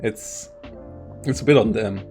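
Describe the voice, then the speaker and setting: A man's voice: fairly low pitch, a little slow, darkish tone, faint music behind. A man commentating a live strategy game tournament, talking in loose, self-interrupting bursts. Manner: rambling, self-interrupting, frequent restarts. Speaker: esports caster.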